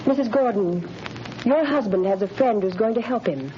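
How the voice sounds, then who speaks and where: An actress playing a calm, loyal young woman who speaks gently and reassuringly in a 1930s radio drama. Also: mid-range voice, normal speed, a little hiss or hum, lightly acted. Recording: muffled 1930s radio recording.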